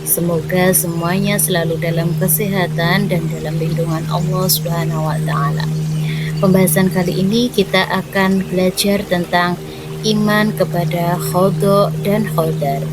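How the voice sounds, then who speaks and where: A woman teaching a recorded lesson for schoolchildren, explaining step by step in a clear, measured way. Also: fairly low pitch. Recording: loud background music.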